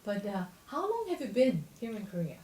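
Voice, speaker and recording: A woman hosting a radio show in two languages, talking rapidly and switching between them. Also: mid-range voice, very animated, a little mellow, roomy sound, recorded from a distance. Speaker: radio host.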